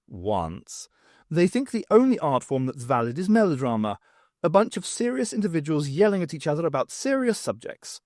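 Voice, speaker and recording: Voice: medium pitch, darkish tone, no room sound, clean studio sound, lightly acted. A narrator voicing an opinionated male literature professor with an emphatic, lecturing manner in an audiobook. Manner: emphatic, didactic.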